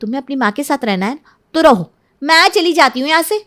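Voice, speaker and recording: A woman's voice: high voice, animated, fairly quick, fairly bright tone, voice pushed up. Woman acting a jealous, indignant young wife. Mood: angry, accusing. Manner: indignant, complaining. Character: jealous, resentful young wife and daughter-in-law. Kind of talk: Hindi cartoon story acting.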